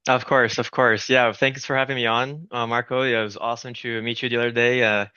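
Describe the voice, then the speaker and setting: A man's voice: medium pitch, level tone, fairly quick, pushed and bright, smooth, no echo, dull audio. A man from Brazil, in his thirties, a founder talking as a guest in a friendly, chatty way with many restarts. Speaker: game studio founder, guest. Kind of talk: casual interview talk.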